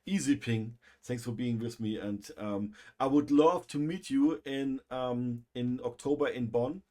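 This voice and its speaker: Halting man with a German accent. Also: mid-range voice, dark tone. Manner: halting, many fillers and restarts. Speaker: livestream host. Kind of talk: casual livestream sign-off.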